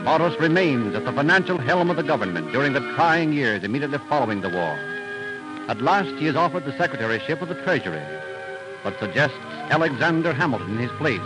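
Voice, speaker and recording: Male announcer, 1930s radio narration, dramatic and emphatic, very animated pitch, music behind, old recording. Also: fast, low-quality recording.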